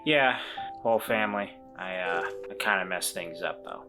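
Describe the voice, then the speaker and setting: A woman voicing a remorseful weasel man who once ran away from home, speaking in a halting, reflective way and gently encouraging others. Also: very deep voice, music in the background. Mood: ashamed.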